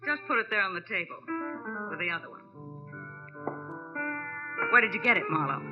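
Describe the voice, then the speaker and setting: A woman playing a guarded, sharp-tongued nightclub client in 1940s radio noir, clipped and sardonic. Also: mid-range voice, animated, moderate pace, over music, lightly acted. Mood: cool, guarded. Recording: muffled old radio recording.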